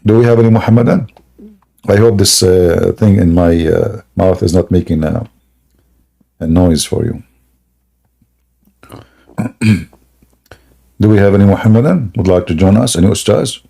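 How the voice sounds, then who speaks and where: A man hosting a live debate stream in a scoffing, jokey way, laughing often and clearing a sore throat. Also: low voice, moderate pace, long pauses, mellow. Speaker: livestream debate host. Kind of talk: mocking live debate chat.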